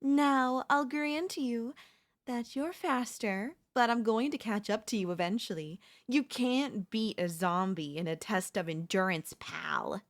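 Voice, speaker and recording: A woman voicing a chatty, teasing zombie in a comedic audio roleplay, talking casually and playfully straight to the listener. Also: fairly high pitch. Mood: smug, boastful. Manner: casual, playful, taunting, slangy, drawn-out delivery. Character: chatty, teasing, cocky female zombie hunting a human survivor.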